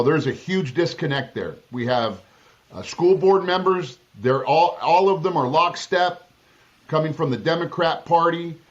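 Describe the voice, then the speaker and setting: Blunt, emphatic man, slightly muffled. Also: on the high side. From Southern California. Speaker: political candidate. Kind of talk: interview answers.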